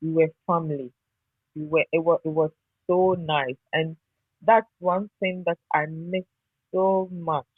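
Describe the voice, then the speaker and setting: A woman's voice: fairly low pitch, husky, dry recording. Ghanaian woman on a tinny phone line. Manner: nostalgic, emphatic repetition. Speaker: interviewee, former radio newsreader. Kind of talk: conversational, reminiscing interview.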